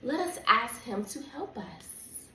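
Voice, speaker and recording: Small eager male clown toy woman reader for a storybook. Hopeful, lively pitch, echoey sound. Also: slow, heavily acted. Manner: hopeful, calling out. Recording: echoey room with background noise.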